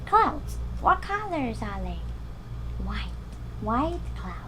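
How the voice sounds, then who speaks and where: A woman's voice: fairly high pitch, sing-song, slow pace, background noise. A young woman reading a picture book to small children, using exaggerated delivery and asking questions as she goes. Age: young adult. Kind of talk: read-aloud story lesson for young children.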